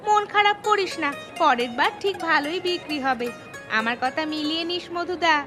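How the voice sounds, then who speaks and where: A woman's voice: very high-pitched, moderate pace, bright, forceful tone, soft background music, a faint crowd. A woman playing a caring, encouraging village mother in a cartoon, speaking informally and reassuringly. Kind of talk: cartoon voice acting.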